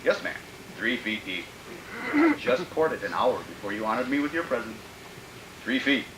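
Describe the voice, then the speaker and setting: Radio thriller villain: polite, deranged, repetitive employer with very animated pitch, heard in a reverberant room. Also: male voice, normal speed, background noise. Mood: gloating, menacing. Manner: fussy, repetitive, muttering to himself. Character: sinister, gleefully deranged male killer posing as fussy polite employer. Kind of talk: old-time radio thriller acting.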